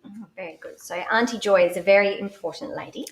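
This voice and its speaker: An Australian woman presenting a live children's show, animated and exclamatory as she speaks to young viewers. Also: mid-range voice, fast talker, vocal fry. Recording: roomy, echoey live stream sound.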